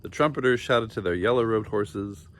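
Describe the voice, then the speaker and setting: A man narrating a children's storybook in an expressive, deliberate and dramatic storytelling style. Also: on the low side. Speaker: storybook reader.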